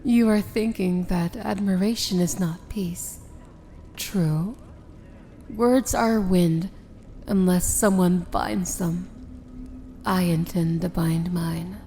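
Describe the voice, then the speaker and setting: A woman playing a proud, dangerous evil princess in an ASMR fantasy romance roleplay, speaking softly and formally with a breathy, measured, regal delivery. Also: on the low side, fairly even intonation, slight background noise. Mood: solemn, resolute.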